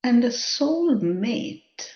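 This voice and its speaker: A woman guides a spiritual meditation at an unhurried pace. Her voice is mellow and relaxed, with a contemplative delivery and long pauses between short phrases. The recording sounds somewhat dull and lo-fi. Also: on the high side.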